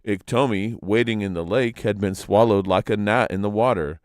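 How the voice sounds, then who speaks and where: A man narrating legends as a storyteller, in a dramatic, expressive reading. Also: fairly low pitch, moderate pace, no echo, clean studio sound.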